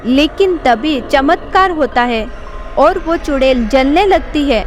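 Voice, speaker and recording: A woman's voice: high-pitched, expressive, a little slow. A woman narrating a scripted horror story. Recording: background music.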